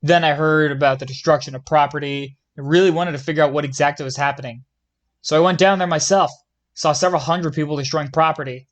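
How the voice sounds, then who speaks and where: A man acts out a police lieutenant being interviewed in an audio drama. His voice is fairly high for a man. He answers in a plain, matter-of-fact manner. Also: fairly even intonation, quick pace, lightly acted.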